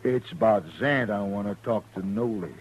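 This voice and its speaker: An actor playing a grumbling, insistent frontier hide dealer in a radio western, with a folksy rural American accent. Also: male voice, lowish voice, moderate pace, natural voice. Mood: accusing. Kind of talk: 1950s radio western acting. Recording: lightly noisy old radio recording.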